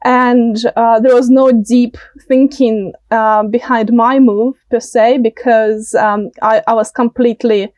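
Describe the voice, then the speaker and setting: A woman's voice: on the high side, steady tone, slow, few pauses, a little mellow, no echo, highs cut off. A woman in her late twenties from Russia, with a Russian accent, giving conversational interview answers and often searching for words. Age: late 20s. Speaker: interview guest, political candidate.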